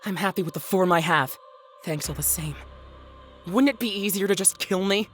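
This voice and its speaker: A woman's voice: on the low side, normal speed, faint music behind, lightly acted. A woman playing a bitter, defiant eldest daughter in an audio drama, blunt, sardonic and combative. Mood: wary, defiant. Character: defiant, bitter firstborn daughter fighting for her siblings.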